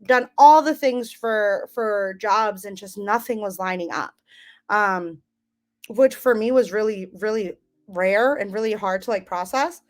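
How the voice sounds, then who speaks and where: A young woman from the United States telling her life story as an interview guest, relaxed and rambling with lots of ums. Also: mid-range voice, measured pace. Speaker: guest, business owner.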